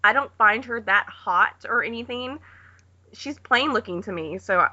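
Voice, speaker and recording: Bright-voiced woman, offhand, lo-fi podcast audio. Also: on the high side, expressive, a little slow. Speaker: podcast panelist. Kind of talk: casual chat about films.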